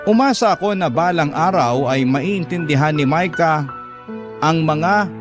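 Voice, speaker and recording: A man with a Filipino accent reads a dramatic first-person radio drama narration in a sorrowful, measured manner. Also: fairly high pitch. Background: music.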